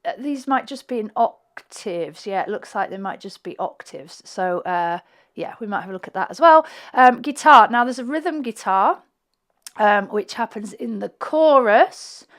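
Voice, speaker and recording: A woman with a slightly croaky voice, a flutist chatting through her music production session and thinking aloud as she clicks around. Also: medium pitch, animated, measured pace.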